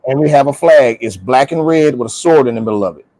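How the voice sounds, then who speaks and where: A man's voice: mid-range voice, expressive, fast, warm tone, no room sound, poor audio. An American man in a casual, opinionated group discussion, speaking emphatically in colloquial language and repeating phrases for stress. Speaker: panelist on a talk show.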